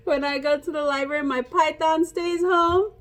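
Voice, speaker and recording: A woman reading a picture book aloud to children like a teacher, in an animated, playful way, coaxing young listeners to join in. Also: high-pitched, moderate pace.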